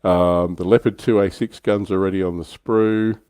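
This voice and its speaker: A man's voice: deep voice, fairly even intonation, moderate pace, a little mellow, clean tone, no echo, studio quality. A man hosting a livestream, chatting informally through a casual hobby discussion. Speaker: livestream host.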